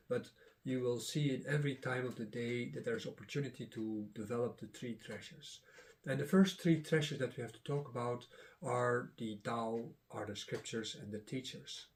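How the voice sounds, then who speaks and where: A middle-aged man whose first language is Dutch gives a casual lesson, pausing often with filler sounds. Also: mid-range voice, a little hiss or hum. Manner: rambling, many filler sounds and restarts. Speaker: Taoist teacher and channel host.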